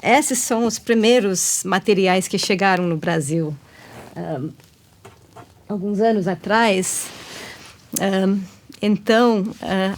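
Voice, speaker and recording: A woman with a noticeable English accent in her Portuguese, appearing as a guest on a women's ministry talk show. Her voice sits a little low. She explains at length, pausing on fillers and correcting herself as she goes. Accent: Indian-born, English-raised speaker of Portuguese. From England.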